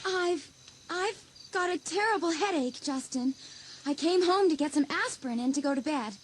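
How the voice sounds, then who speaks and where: A woman's voice: sits high, lively intonation, moderate pace, slight background noise. A woman playing a desperate, pleading teenage girl in a dramatised audiobook. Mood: reluctant. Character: desperate teenage girls, a trapped spirit and her impostor.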